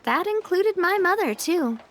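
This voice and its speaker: High-voiced, earnest young female leader. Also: lively intonation, measured pace. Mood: candid. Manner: earnest, reflective. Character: poised young female leader, resilient, candid, wistful. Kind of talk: video game voice acting.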